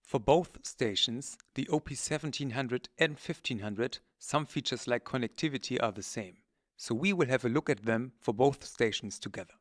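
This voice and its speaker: A man's voice: mid-range voice, normal speed, dry recording, studio quality. A man presenting technical product training in a methodical, matter-of-fact, instructional way. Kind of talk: instructional presentation, scripted narration.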